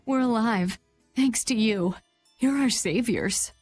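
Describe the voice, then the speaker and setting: A woman's voice: a little high, slow pace, a little mellow. A woman voicing a grateful, weary mother in a video game. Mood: relieved. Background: background music.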